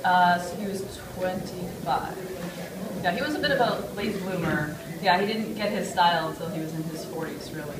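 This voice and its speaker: In a roomy conference space with loud audience murmur, a woman lecturer fields questions. Her voice sits on the low side. She explains things articulately and with enthusiasm, speaking off the cuff like an academic. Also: normal speed, over a noisy crowd. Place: hotel conference room. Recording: roomy, distant mic, audience noise.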